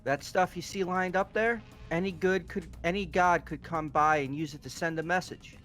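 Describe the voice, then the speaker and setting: A man's voice: fairly high pitch, steady tone, normal speed, a bit bright, soft background music, close to an everyday voice. A man voicing a panicky, superstitious young fortune-teller in a video game, dramatic and alarmist. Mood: ominous worry. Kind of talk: amateur visual novel voice acting.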